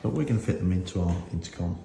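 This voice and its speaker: A man's voice: low-pitched, lively intonation, rapid-fire, dark tone. A man giving a casual hands-on product demonstration as a reviewer, unscripted and thinking aloud with some hesitation. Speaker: motorcycle gear reviewer and host. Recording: roomy echo with faint noise.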